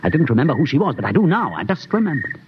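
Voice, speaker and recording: A haunted, brooding ship's doctor in a 1950s radio play. His voice rises and falls in lively swings. Hiss and a dull, narrow sound come from the old broadcast. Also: fairly high pitch, very fast. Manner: earnest, brooding, builds suspicion. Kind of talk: 1950s radio drama acting.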